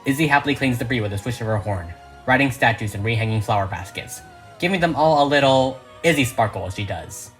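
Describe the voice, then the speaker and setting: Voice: mid-range voice, expressive, fast talker, soft background music. A narrator reading a script aloud in a dramatic, emphatic, theatrical style.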